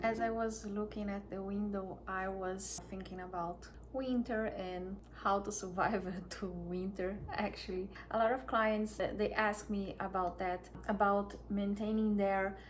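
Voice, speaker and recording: Soft-spoken woman with a Brazilian accent. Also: mid-range voice, steady tone, soft background music. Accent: Brazilian Portuguese. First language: Portuguese. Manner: soft, unhurried, with frequent restarts. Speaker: wellness vlogger. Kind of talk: casual talk to camera.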